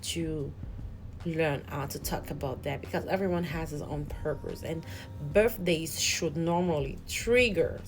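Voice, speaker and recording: A woman's voice: low voice, unhurried, background noise. A woman hosting a channel, giving an earnest, reflective spiritual motivational talk that often repeats and restarts words. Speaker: host.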